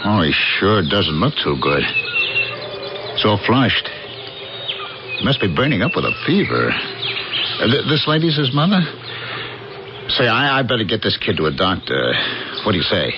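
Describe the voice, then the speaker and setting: A man's voice: lowish voice, sing-song, a little slow, long pauses. An actor playing a flustered, incredulous ordinary American working man who stammers, in a 1980s radio drama. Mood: nervous, bewildered. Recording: dull, noisy old radio broadcast.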